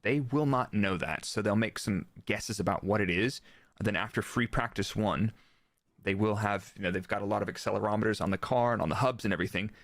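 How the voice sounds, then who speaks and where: Low-voiced man explaining racing, dry close sound. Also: a little fast, dry recording. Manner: explanatory, informal, illustrates with quoted remarks. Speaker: guest expert, former Formula 1 performance engineer. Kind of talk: casual technical motorsport analysis in a live interview.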